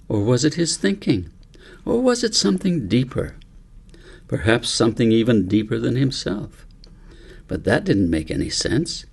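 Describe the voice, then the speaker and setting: A man narrating a story audiobook in a measured, reflective way, as an author reading his own book aloud. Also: mid-range voice, vocal fry, darkish tone, husky.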